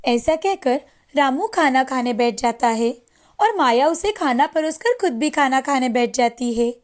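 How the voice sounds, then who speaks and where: A woman's voice: high-pitched, expressive, measured pace. A woman narrating a scripted moral story as a storyteller. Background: music.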